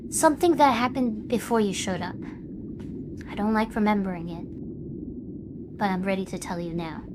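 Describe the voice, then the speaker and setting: A woman's voice: mid-range voice, lightly acted. A woman acting out a troubled young streamer and her scheming alter ego in a scripted, emotional drama. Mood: subdued, confiding. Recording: noisy background ambience. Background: ambient noise.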